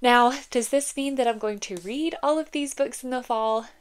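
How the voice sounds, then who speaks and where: A woman's voice: on the high side, normal speed, smooth. A young woman vlogging casually about books from her home, a little congested from a cold, talking with lots of "like" and "um". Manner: chatty, frequent "like" and "um", reads blurbs aloud. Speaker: book vlogger. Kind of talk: casual chat and blurb reading.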